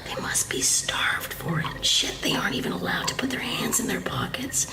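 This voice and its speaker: A woman's voice: mid-range voice, sing-song, normal speed, creaky. Whispering, rebellious young woman. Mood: conspiratorial. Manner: hushed, blunt, conspiratorial. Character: rebellious, defiant young woman captive plotting escape. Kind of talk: audio drama acting. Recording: echoey room.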